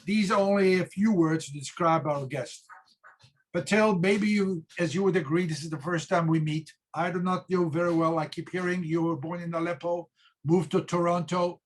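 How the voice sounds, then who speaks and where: A man's voice: sits high, fairly even intonation, low-quality recording. Formal male interview host. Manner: formal, listing praise deliberately. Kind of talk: interview introduction.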